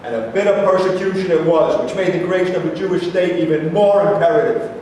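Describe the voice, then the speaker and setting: A man's voice: high voice, quick pace, darkish tone, natural voice. A man acting in a staged reading as a stubborn, defensive Israeli ex-soldier, arguing emphatically in formal wording. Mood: insistent. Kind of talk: staged play reading, declaimed debate dialogue. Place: live staged reading in a reverberant room, distant microphone. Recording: echoey hall from far off, faint noise.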